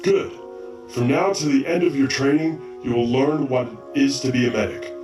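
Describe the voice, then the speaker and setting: A man's voice: mid-range voice, normal speed, natural voice. Haughty commanding medic instructor, male actor, audio drama, roomy recording with faint music behind. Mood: stern, commanding. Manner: stern, commanding, exacting. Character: haughty, drill-sergeant male robot chief medic training recruits. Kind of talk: amateur audio drama acting. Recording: roomy, slightly distant mic, faint music.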